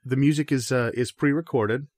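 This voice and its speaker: A man playing an earnest, pretentious stage performer in a deadpan improvised interview, hesitant and rambling. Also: mid-range voice, normal speed, no echo, studio quality, close to an everyday voice. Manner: hesitant, rambling, self-serious. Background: guitar music.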